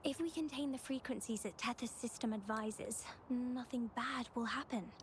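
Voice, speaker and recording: An actress playing a composed, caring young woman guide in a video game, speaking in a measured, reassuring manner. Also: a little high, slight background noise, lightly acted. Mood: serious, measured. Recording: game audio with faint background ambience.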